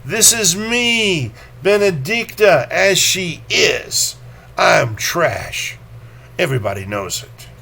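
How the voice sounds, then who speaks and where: A man voicing a tiny, hysterical dead woman in a recited poem, taunting and defiant in a drawn-out declamation. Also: sits high, animated, gravelly, pushed and bright, husky, pitched above the performer's own voice, full character voice. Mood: mocking, defiant. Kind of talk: dramatic poetry recitation.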